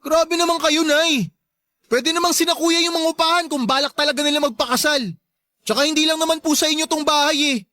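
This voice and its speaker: A man's voice: extremely high pitch, steady tone, a little fast, pushed and bright, no room sound, clean studio sound. An actor playing a hurt, resentful adult son who pleads and protests to his mother in a Tagalog radio drama. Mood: hurt, defiant.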